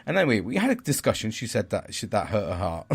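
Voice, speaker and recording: A man with a naturally deep, loud voice talks through his week as a podcast host. His delivery is rambling and frank, with the odd swear word. His pitch swings up and down lively as he goes. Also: fast talker, creaky, slightly rough. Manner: rambling, candid, swears casually. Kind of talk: casual reflective monologue.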